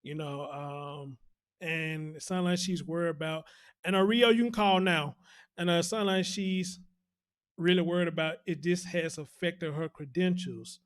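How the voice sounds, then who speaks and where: Man, talk show host, slightly high voice, sympathetic, casual commentary. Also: fairly even intonation, unhurried. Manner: sympathetic, murmuring acknowledgments.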